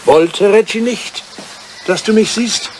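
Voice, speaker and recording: Radio play casting: a lonely old aristocrat, wistful and insistent, fairly high-pitched, on a slightly noisy vintage recording. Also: male voice, slow. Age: older. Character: wistful old English lord, lonely lovelorn bachelor writer. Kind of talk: German radio drama acting, drawing-room dialogue.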